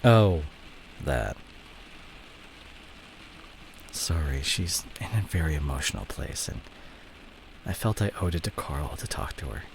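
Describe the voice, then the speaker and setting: A man's voice: extremely low pitch, big pitch swings, normal speed, vocal fry, noisy background, full character voice. A man playing a fallen, evasive CEO boyfriend in an intimate, dramatic roleplay audio drama. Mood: sheepish. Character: cheating fallen CEO boyfriend, smooth-talking, evasive, image-obsessed.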